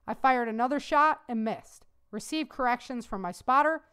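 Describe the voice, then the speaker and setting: A woman's voice: a little high, moderate pace, a bit bright, clean tone, lightly acted. A woman voicing an earnest young male army private who answers straightforwardly and respectfully.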